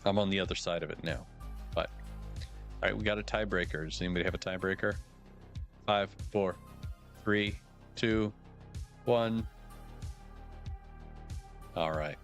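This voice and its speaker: A slightly hoarse man with a very low voice chats casually while streaming a game. He thinks aloud and drops wry asides, with background music running behind him. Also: measured pace, pauses often, a bit bright. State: a little hoarse. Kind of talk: casual live-stream commentary.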